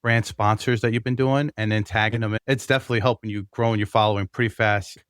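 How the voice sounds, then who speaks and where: A man's voice: on the low side, fairly even intonation, fast talker, a bit bright, smooth, no room sound, studio quality. A man hosting a podcast, reading a prepared opening and then asking conversational questions. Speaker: podcast host.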